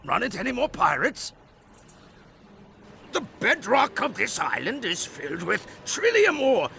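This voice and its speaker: An actor playing a folksy, talkative old island elder in a video game, with a creaky voice and theatrical delivery. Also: male voice, extremely high pitch, wildly swooping pitch, a little slow, pushed and bright, slight background noise, heavily acted. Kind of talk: animated video game cutscene acting.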